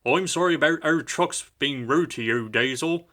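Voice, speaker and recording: A man voicing a small, polite male steam engine in a storybook, speaking gravely and courteously. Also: a little high, normal speed, sharp tone, soft background music, pitched above the performer's own voice.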